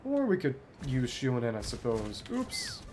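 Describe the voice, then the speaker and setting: A man's voice: medium pitch, huge pitch swings, normal speed, darkish tone. A man giving casual gameplay commentary as a streamer, thinking aloud and reading game text out as he plays. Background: game music.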